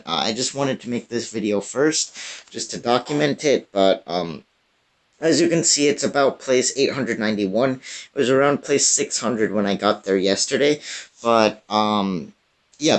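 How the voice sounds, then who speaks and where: A young man with a raspy, strained voice, giving casual gameplay commentary full of fillers and restarts. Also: mid-range voice. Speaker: gaming YouTuber.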